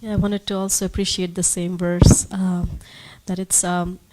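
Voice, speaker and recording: A woman's voice: on the low side, little pitch movement, normal speed, very noisy. A woman taking part in a devotional class, thoughtful and searching for words. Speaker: class participant.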